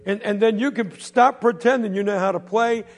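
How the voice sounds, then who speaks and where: A jeering, mocking middle-aged veteran who sits outside a store and taunts a boy, as a male storyteller puts him on. He pitches his voice up high and loud. It rings out in a big echoing hall. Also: expressive, moderate pace. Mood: taunting.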